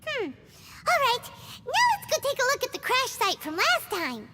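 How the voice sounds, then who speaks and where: Little female fairy sidekick, extremely high squeaky voice, lively, cutesy, naive, cartoonish game character, loud background noise. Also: moderate pace, long reverb tail, full character voice. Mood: pondering. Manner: cutesy, naive, refers to herself by name. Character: tiny excitable childlike female fairy companion. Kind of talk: cartoonish video game voice acting.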